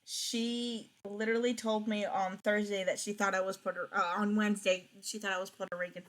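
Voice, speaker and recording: A woman's voice: mid-range voice, little pitch movement, brisk. A young woman chatting casually while playing a game, rambling with frequent restarts. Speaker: streamer. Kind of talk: casual gaming chat.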